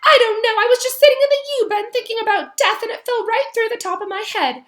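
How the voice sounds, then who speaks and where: A woman voicing a miserable, self-pitying ghost girl with a shrill wail, theatrical and sulky, in a storybook read-aloud. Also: fast, fairly bright tone, reverberant. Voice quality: shrill, wailing. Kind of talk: children's novel read-aloud.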